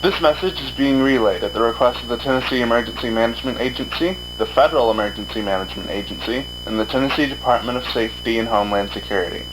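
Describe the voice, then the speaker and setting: A man playing a solemn government emergency announcer, formal and measured, reading a scripted mock broadcast. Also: medium pitch, noisy background, natural voice. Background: loud music.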